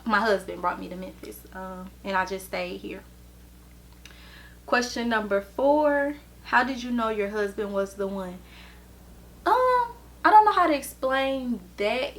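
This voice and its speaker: A woman in her thirties, from the American Midwest, answering viewer questions in a relaxed vlog with plenty of ums. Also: a little high. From Chicago and Detroit, USA. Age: 30s. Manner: slow, easygoing, with many ums. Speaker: vlogger and hairstylist. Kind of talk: casual Q&A vlog.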